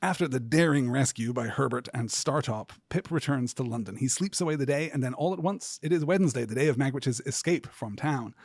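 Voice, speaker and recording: Male podcast host, husky tone, mid-pitched, deliberate articulate phrasing, scripted literary analysis, dry studio-like sound. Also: dry recording. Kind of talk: literary commentary, scripted monologue.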